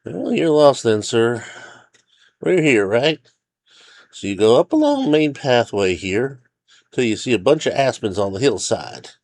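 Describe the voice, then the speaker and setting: A man's voice: medium pitch, very animated, a little slow, darkish tone, dry recording, voice pushed up. A man voicing a wary, weary forest ranger in a story, hesitant and eager to steer people elsewhere. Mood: resigned, helpful. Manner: hesitant, muttering, overly chipper when steering away. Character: weary, wary male forest ranger, hopeful then resigned.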